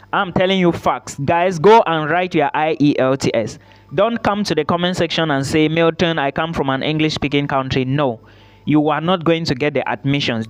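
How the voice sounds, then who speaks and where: Emphatic man giving advice, high voice. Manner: emphatic, repeats points, checks in with "okay?". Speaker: study-abroad YouTuber. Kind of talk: direct-to-camera advice vlog.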